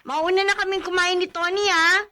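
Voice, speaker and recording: An actress playing a patient, weary young wife in a radio drama. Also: female voice, extremely high pitch, fairly even intonation, moderate pace, bright, forceful tone, a little hiss or hum. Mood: coaxing.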